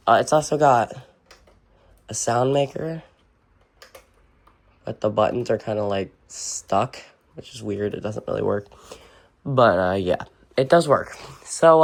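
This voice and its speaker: A video host giving a casual, unscripted show-and-tell indoors in an informal, halting way. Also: medium pitch, vocal fry. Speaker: video host and weather radio collector. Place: indoors, in a room with shelves of radios.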